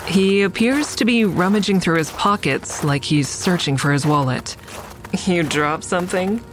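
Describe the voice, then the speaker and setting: Actress as young woman, audio drama lead and narrator, low-pitched, intimate, wry, curious, faint music underneath. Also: normal speed, lightly acted. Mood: intimate narration. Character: curious, playfully teasing woman narrating her night out. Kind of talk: audio drama first-person narration and natural dialogue.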